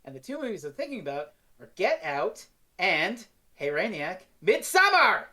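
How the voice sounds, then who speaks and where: A woman reviewing in casual discussion, rambling and excitable, slipping into mock quotes. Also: on the low side, normal speed. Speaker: podcast reviewer.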